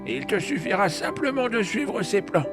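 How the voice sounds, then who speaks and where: A performer playing a gentle, solemn grandfather who explains patiently and earnestly in an audio drama. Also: mid-range voice, big pitch swings, normal speed, natural voice. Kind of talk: French audio drama acting. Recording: music behind the voice.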